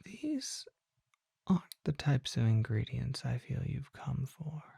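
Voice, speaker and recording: Mysterious vampire merchant for ASMR audio drama, acted out: very breathy whisper, slow and mellow, formal and gently courteous. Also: mid-range voice, big pitch swings, dry recording, studio quality, full character voice. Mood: gentle, courteous. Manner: formal, polite, unhurried, old-fashioned phrasing.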